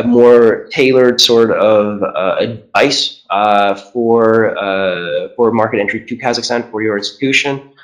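A middle-aged man giving a webinar presentation as a trade commissioner, measured, with many "uh" fillers and restarts, on a video call. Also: fairly low pitch, poor audio. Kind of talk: webinar presentation over slides.

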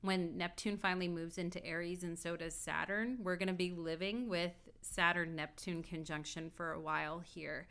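Brief: woman in her 30s, astrologer on webcam, lower pitch, crisp and clear, rambling casual tone. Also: fairly even intonation, normal speed, hardly any pauses, fairly bright tone. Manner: rambling and reflective, full of "like" and "you know". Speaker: astrologer and tarot reader. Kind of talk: casual video talk. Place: indoor room at home.

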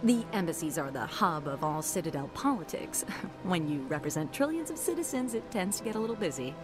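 An actress playing a polite, formal receptionist for the embassies in a video game. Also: female voice, fairly low pitch, big pitch swings, a little fast. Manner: courteous, formal. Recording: game audio with background music.

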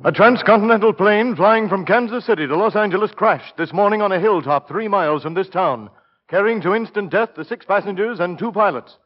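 An actor playing an urgent, grave news announcer with an emphatic, clipped 1940s bulletin delivery. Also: male voice, high voice, a little fast. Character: urgent, grave radio newsman. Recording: dull old radio recording, faint music.

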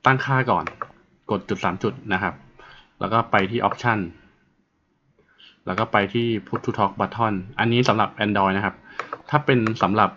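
Muffled low-voiced man giving instructions. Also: sharp tone. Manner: halting, step-by-step explaining. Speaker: tutorial presenter. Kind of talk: informal app walkthrough narration. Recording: muffled, dull audio with faint noise and clicks.

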